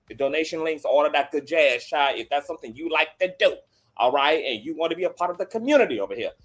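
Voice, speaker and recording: A man's voice: mid-range voice, a bit bright. A man hosting a celebrity gossip live stream in a campy, sassy manner, sounding nasal and sniffly from allergies, speaking. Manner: campy, sassy, calls viewers girl and child. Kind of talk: casual live stream chat.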